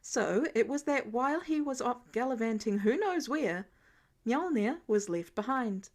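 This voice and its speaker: A woman narrates a written story. Her voice is on the high side. She reads scripted prose as the storyteller of an amateur audiobook. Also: lively intonation, measured pace, a little mellow. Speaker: podfic narrator.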